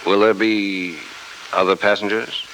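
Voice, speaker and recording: An actor playing a tough, wry adventurer in 1940s radio drama, with a dry, terse American delivery. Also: male voice, lowish voice, little pitch movement, measured pace, fairly bright tone. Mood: guarded. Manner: dry, terse, hard-boiled. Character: tough, wry, unflappable male adventurer hero. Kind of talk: 1940s radio drama acting and first-person narration. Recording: noisy old 1949 radio recording.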